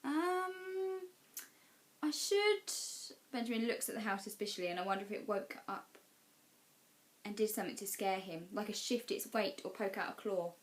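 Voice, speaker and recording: Female storybook narrator, mid-range pitch, expressive classroom read-aloud style. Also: a little fast. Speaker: teacher reading class storytime.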